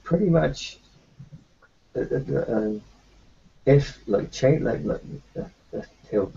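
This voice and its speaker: A man's voice: lowish voice, gravelly, mellow. A man playing his character in a tabletop roleplaying session, talking in a rambling, hesitant way with lots of restarts. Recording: muffled lo-fi with faint hiss.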